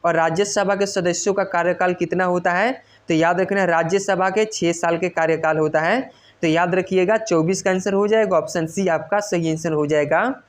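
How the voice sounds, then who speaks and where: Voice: medium pitch, steady tone, normal speed. Exam coach, repetitive and instructional. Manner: repetitive, instructional, stressing answers. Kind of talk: quiz lesson, reading questions and explaining answers.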